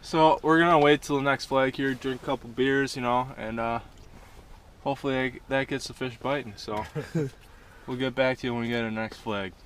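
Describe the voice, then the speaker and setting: A young man in his twenties vlogging an ice-fishing trip with loud, joking banter, filmed on a wearable camera on a frozen lake. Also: medium pitch, steady tone, moderate pace. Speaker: vlogger and angler. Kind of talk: casual outdoor banter. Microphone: wearable action camera. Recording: action camera with loud wind noise.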